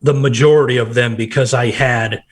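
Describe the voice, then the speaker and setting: A man in his thirties from Nebraska with a nasal, hoarse voice, chatting casually as a podcast guest and telling rambling stories. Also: mid-range voice, fairly even intonation, normal speed. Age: 30s. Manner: rambling, quotes himself in bursts. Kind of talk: casual chat.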